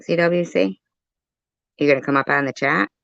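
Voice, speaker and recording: Low-voiced woman, blunt livestream commentary. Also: moderate pace, vocal fry, fairly bright tone, no echo. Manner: blunt, combative, profane. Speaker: livestream host. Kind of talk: casual reaction commentary. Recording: dull, lo-fi stream audio.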